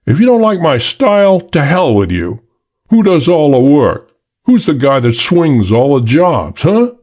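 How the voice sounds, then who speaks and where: A man's voice: mid-range voice, big pitch swings, a little slow, creaky, slightly rough, full character voice. A man voicing a brash, blustering male gangster who talks in tough slang. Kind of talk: audiobook character reading.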